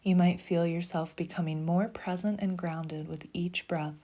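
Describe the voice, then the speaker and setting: A woman leading a guided meditation in a soft, slow, soothing voice, often dropping to a breathy half-whisper. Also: on the low side. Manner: slow, soothing, even pacing.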